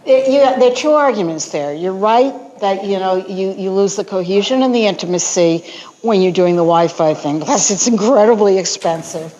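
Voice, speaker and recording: A woman who gives walking tours, sharing tips in a panel discussion in an animated, chatty way with many fillers. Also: medium pitch, normal speed, a little hiss or hum, poor audio. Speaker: walking tour guide, panelist.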